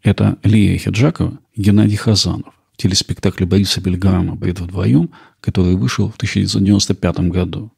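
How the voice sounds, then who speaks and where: A man's voice: low voice, steady tone, fast talker, gravelly, mellow, husky, no echo, studio quality. A man hosting a podcast, reading scripted documentary-style narration. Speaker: podcast host.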